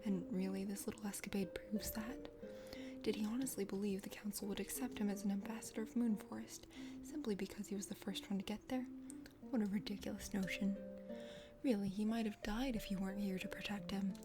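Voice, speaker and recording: A woman's voice: mid-range voice, fairly even intonation, fairly quick, voice pushed down, natural voice. Girl doing a snooty chatty dragon sister, game character, formal whispery asmr talk, music playing behind. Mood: condescending, composed. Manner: formal, prattling, condescending. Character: prim, chatty, condescending dragon sister of a forest kingdom.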